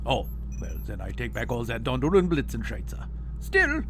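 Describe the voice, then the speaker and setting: Eager german scientist for a sci-fi audio comedy, jolly, pitch all over the place, bit of hum. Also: wildly swooping pitch, moderate pace, fairly bright tone. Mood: earnest. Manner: theatrical, jovial, mangled English phrasing. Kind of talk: comic sci-fi audio drama acting.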